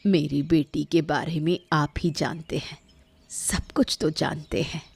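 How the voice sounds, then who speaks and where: A woman's voice: deep voice, fairly even intonation, a little slow, creaky, a little mellow, slightly rough, heavily acted. A woman voicing a humble village mother in a cartoon story, deferential yet proud of her child. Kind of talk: Hindi cartoon moral story dialogue.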